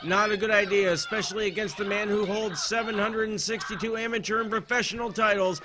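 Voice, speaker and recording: Man, high-pitched, American accent, comedic sports commentator, deadpan, verbose, noisy recording. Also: fairly quick. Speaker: wrestling play-by-play announcer.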